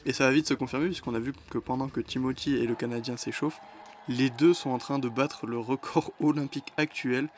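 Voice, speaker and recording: A man's voice: medium pitch, steady tone, fairly quick, slight background noise. A young man narrating a voice-over in a casual, explanatory way with chatty asides. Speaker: vlogger narrating. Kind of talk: voice-over commentary.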